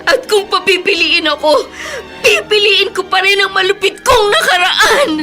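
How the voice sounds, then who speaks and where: Wounded young heroine, very high voice, tearful, resilient, radio drama, music underneath. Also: female voice, animated, normal speed, sharp tone, heavily acted. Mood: tearful resolve. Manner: tearful, emotional delivery. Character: wounded but resilient young woman, sold by her parents as a child. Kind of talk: Tagalog radio drama acting. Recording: music behind studio drama voice.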